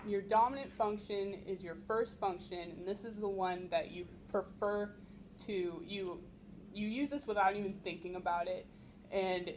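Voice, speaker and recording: A woman's voice: medium pitch, darkish tone, poor audio. A young woman with an ordinary mid-range voice explains a topic to a convention crowd, often filling gaps with "um". There is no microphone, and faint noise hangs in the room. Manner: explanatory, filler-heavy with frequent ums. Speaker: convention panelist. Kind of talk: convention panel presentation. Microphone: no microphone, recorded from the room.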